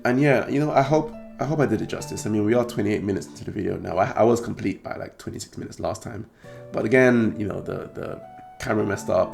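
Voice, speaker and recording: A man with a British accent hosting a trading card channel, talking casually to camera in a croaky, hoarse voice, rambling and clearing his throat often. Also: on the low side, darkish tone. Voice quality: gravelly.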